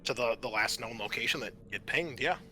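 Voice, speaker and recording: A man's voice: mid-range voice, fairly quick, pushed and bright. A man narrating a tabletop game as game master, improvising hesitantly as he describes the scene. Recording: music under the voice.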